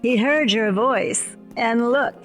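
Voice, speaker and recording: Jive-talking cat turned lady woman acting, high-voiced, unhurried, drawling and sassy, music underneath. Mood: proud, playful. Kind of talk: audio play acting.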